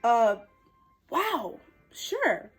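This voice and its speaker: A woman's voice: fairly high pitch, big pitch swings, very slow, faint music behind, heavily acted. A woman voicing an eager young boy in a storybook, wondering aloud and hesitant. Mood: amazed, eager.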